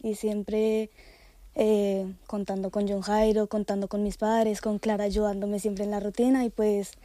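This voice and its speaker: A woman's voice: medium pitch, flat delivery, unhurried, dark tone, dry recording. A woman, an athlete answering conversationally in an interview. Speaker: athlete and coach, interview guest.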